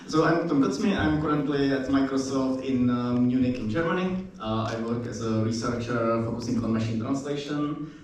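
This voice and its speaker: A man's voice: medium pitch, a little mellow, slight background noise. Man speaking with hesitation in an echoey hall. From Czech Republic. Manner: hesitant, filler-heavy, slightly halting English. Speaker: panelist, researcher. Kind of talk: opening remarks on a panel. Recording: very echoey room, distant mic.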